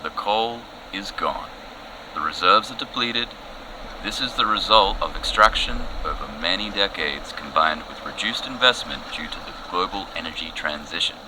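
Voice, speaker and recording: An actor playing a weary, matter-of-fact TV field reporter in a satirical audio comedy, factual and deadpan. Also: male voice, sits low, moderate pace. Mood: deadpan, insistent. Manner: flat-worded, factual, deadpan. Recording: noisy outside-report ambience behind.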